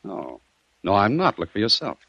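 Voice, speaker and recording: A very low-voiced, shrewd investigator from a vintage radio crime drama. He is commanding and sardonic, swinging widely in pitch as he needles his man. The old recording is dull and dry. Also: wildly swooping pitch, moderate pace, a bit bright, slightly rough, full character voice. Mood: feigned innocence. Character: shrewd, cool-headed male investigator baiting a murder suspect.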